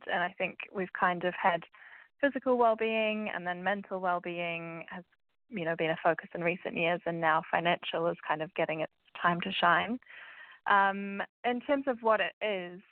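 A woman from New Zealand, with a New Zealand accent, explaining clearly as an interview guest and often saying "kind of". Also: fairly low pitch, no room sound. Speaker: guest expert. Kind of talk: interview answers. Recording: dry, tinny phone-like sound.

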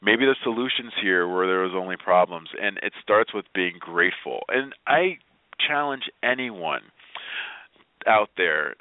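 A man giving motivational advice as a radio interview guest, speaking in an animated, emphatic way with repeated phrases. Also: low voice, a little slow, dry recording. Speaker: guest, positivity speaker and blogger. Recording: thin phone-like line.

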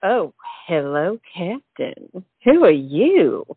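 A woman's voice: on the low side, very animated, slow, slightly rough, no room sound, heavily acted. An actress playing a nosy, blunt colonial woman with a morbid streak in a comic stage play. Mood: curious. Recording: muffled, distant, phone-like stage recording.

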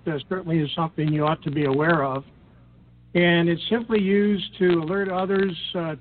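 A tinny, noisy phone line carries the fairly high voice of an older American man. He is a guest explaining things in a measured, halting manner, often pausing to clear his throat. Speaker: podcast guest, experienced recreational boater. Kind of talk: unscripted explanatory interview talk.

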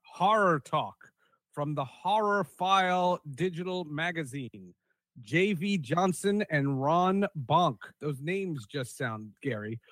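A man with a high-pitched voice hosts a podcast in a close, dry recording. He switches between casual chat and read-out show introductions. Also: animated, slow, fairly bright tone, no room sound. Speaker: podcast host.

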